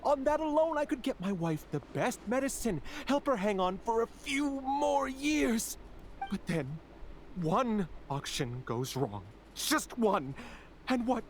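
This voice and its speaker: An actor playing an anxious, cornered small-time criminal in a video game, nervous and halting. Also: male voice, very high voice, sing-song, slight background noise, full character voice. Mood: distraught, bitter. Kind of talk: scripted video game voice acting.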